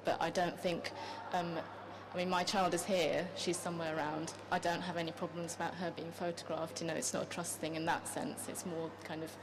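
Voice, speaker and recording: A woman from Japan offers personal remarks in a group discussion. Her voice sits a little low and stays very flat in pitch, with a polite, self-qualifying delivery. Noticeable noise runs behind her at a live event. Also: a little fast. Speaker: event participant, mother. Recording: clear background noise, live event room.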